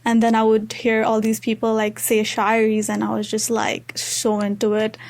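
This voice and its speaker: High-voiced young Nepali-accented woman. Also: monotone, normal speed. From Tarai region of Nepal. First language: Maithili. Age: young adult. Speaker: student interview guest. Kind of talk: casual conversational interview.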